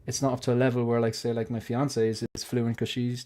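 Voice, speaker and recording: A man's voice: medium pitch, little pitch movement, quick pace, dark tone. Rambling Irish man, casual and swearing. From Ireland. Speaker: co-host. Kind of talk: casual livestream chat.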